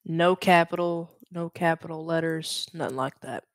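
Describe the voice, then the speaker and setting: A young man presenting a software tutorial in a casual, halting, informal way, thinking aloud as he goes. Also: on the high side, fairly even intonation, no echo, studio quality.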